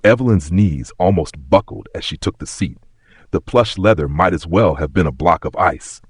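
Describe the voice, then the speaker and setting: A man's voice: low voice, expressive, moderate pace, husky, dry recording, studio quality. A man narrating a scripted story with a dramatic, suspenseful and emphatic delivery.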